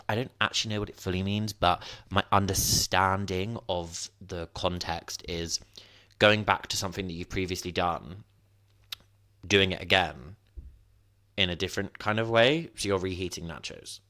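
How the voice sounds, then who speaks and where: A young man in his twenties hosts a solo podcast from home in a rambling, self-mocking way. His voice sits very low, and the sound is close and dry with no room around it. A sniffly nose runs through his talk. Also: normal speed, fairly bright tone, dry recording. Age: 20s. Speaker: podcast host. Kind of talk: casual solo podcast chat. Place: at home, indoors.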